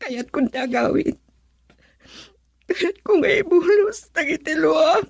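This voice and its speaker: A woman's voice: sits high, animated, slow pace, vocal fry, no echo, exaggerated voice. A woman playing a grief-stricken, fearful character in a radio drama, with a tearful, pleading delivery. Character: grief-stricken, fearful woman fearing a loved one dead. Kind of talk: Ilocano radio drama acting.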